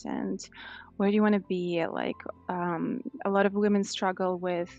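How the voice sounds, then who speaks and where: A woman's voice: lowish voice, darkish tone, highs cut off. A woman in her thirties with a Russian accent, answering conversationally as a life coach in an interview. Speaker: life coach, podcast guest.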